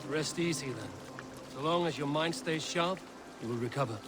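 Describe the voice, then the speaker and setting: An actor playing a stoic Viking warrior leader in a video game, talking in a terse, dry and guarded way. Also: male voice, a little high, moderate pace, noisy background, natural voice. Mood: concerned, blunt. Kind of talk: scripted dramatic game dialogue.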